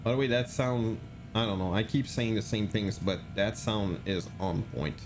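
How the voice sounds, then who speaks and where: A man's voice: lowish voice, normal speed, noisy background. A man streaming a flight simulator, chatting casually, thinking aloud and answering chat with many fillers.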